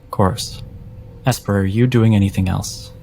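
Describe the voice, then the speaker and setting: A man narrating a tabletop horror game as game master, deliberate and suspenseful, often breaking his phrases for drama. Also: fairly low pitch, fairly even intonation, gravelly, warm tone. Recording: music behind the voice.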